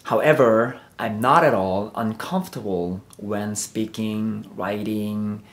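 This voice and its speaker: A man with a Korean accent, a native Korean speaker, preaching a sermon in English with a measured, carefully read delivery. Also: on the low side.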